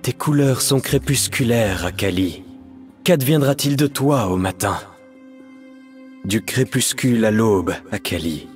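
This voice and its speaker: Man voicing a slow, brooding painter mage. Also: mid-range voice, lively intonation, close to an everyday voice. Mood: grave, wistful. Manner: solemn, measured, poetic. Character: brooding, melancholic male painter mage, torn between light and darkness. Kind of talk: scripted video game champion voice lines. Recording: music behind the voice.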